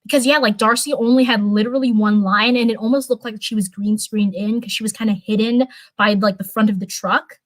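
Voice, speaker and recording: A young woman chatting casually on a livestream, rambling with lots of fillers and restarts, her voice getting hoarse. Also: mid-range voice, fairly even intonation, brisk, few pauses, dry recording. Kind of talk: casual livestream chat, answering viewers.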